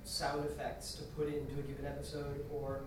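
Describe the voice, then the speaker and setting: A man's voice: fairly high pitch, completely flat delivery, fairly quick. A man asking questions casually from the audience. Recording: distant, echoey room with noise.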